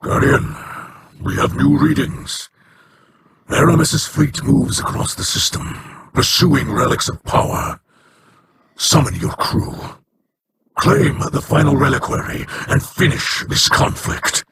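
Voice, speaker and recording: An actor playing a solemn, regretful male elder of an alien people in a video game, speaking in measured, formal sentences. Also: very noisy. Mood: grave. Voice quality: low, measured, formal speech.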